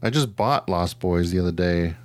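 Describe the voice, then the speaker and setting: A middle-aged American man co-hosting a podcast, chatting casually about movies in a slangy, animated, profane way. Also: deep voice, moderate pace. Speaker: podcast co-host.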